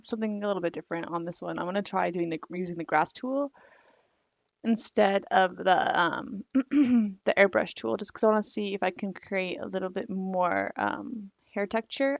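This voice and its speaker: A young woman talks through a digital drawing tutorial in a rambling, filler-filled way. Her voice is gravelly and croaky because she is losing it. The recording is dry and a little dull, like a home desk mic. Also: medium pitch, animated, a little fast, no room sound. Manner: rambling, filler-heavy, apologetic. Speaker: digital art tutorial host.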